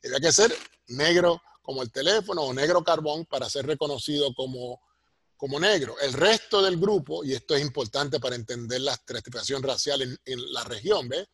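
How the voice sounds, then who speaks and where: A middle-aged or older man with a Puerto Rican Spanish accent and a husky voice, explaining things like a professor in an interview and punctuating his points with tag questions. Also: medium pitch, fairly quick, a bit bright, dry recording. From Puerto Rico. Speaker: sociology professor, interview guest.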